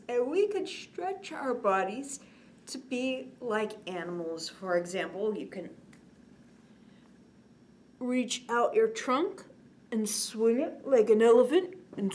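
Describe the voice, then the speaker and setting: A woman in her forties hosting a children's bedtime story time, expressive, drawing out words and often dropping to a hushed, whispery tone. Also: a little high. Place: indoors, small curtained set. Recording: clear background noise.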